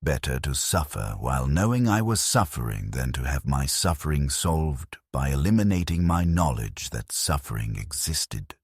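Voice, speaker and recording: A man narrating a dark first-person story in a grave, measured, brooding way. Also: rumbling low, big pitch swings, husky, no room sound, clean studio sound.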